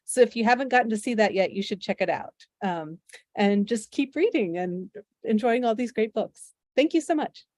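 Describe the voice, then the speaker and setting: A woman's voice: fairly high pitch, lively intonation, moderate pace, a little mellow, no room sound, studio quality. A woman from Missouri, a children's book author, giving informal thanks over a video call. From small town in Missouri, United States.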